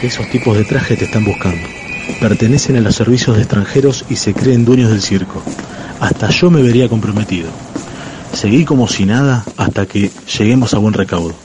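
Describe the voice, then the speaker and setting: A man's voice: fairly low pitch, brisk, noisy background, close to an everyday voice. A man playing an effusive, shrewd army captain in a radio drama, with a theatrical manner. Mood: hushed, urgent.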